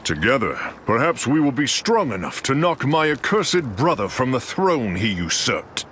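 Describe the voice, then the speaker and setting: A man's voice: medium pitch, sing-song, normal speed, a bit bright, slight background noise. A man playing a proud, noble warrior god of thunder in a video game, with stately, archaic, declamatory delivery. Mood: solemn, proud. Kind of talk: theatrical video game voice acting.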